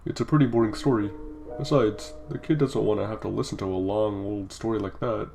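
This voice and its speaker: A man acts out a laid-back but guarded skeleton big brother for a comic dub. His voice sits low with a heavy creak, and his wording is loose and slangy. Music plays behind him. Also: steady tone, a little fast, dark tone. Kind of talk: fan comic dub acting.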